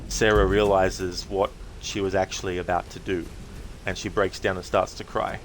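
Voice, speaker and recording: A man giving casual, reflective livestream commentary as a game streamer, speaking haltingly in short phrases. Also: deep voice, flat delivery, slight background noise, rough recording.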